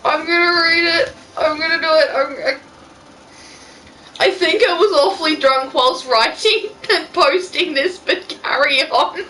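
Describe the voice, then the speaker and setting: A woman's voice: high-pitched, unhurried, pushed and bright, a little hiss or hum, poor audio. A woman reading fan fiction aloud in an amateur style, breaking off for casual banter. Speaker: reader.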